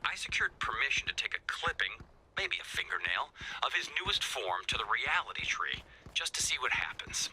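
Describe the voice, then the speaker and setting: An actor playing an anxious, sarcastic video game scientist who rambles in wry asides and feigns calm. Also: male voice, mid-range voice, fairly quick, slight background noise, rough recording. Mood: wry, playful. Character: anxious, sarcastic, fast-thinking male scientist. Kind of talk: video game character voicelines.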